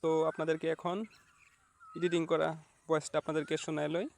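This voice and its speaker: A man's voice: on the high side, little pitch movement, brisk, creaky, slight background noise. A man giving a casual how-to tutorial, speaking to viewers in an instructional, repetitive way. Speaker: tutorial host.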